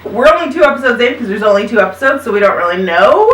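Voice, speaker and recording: A woman's voice: on the high side, sing-song, fast. A woman in her thirties, an upbeat host and mother, chatting casually about podcasts with her kids. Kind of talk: casual chat recommending podcasts. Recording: roomy sound, mic at a distance.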